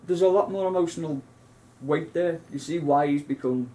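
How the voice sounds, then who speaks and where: A man's voice: a little high, moderate pace, dark tone, poor audio. A man, co-hosting a casual film chat, rambling with many restarts and asides. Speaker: co-host.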